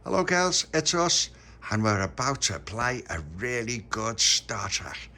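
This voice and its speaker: A man voicing an eager, excitable little male puppy in a storybook. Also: medium pitch, measured pace, voice pushed up, heavily acted. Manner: bouncy, enthusiastic.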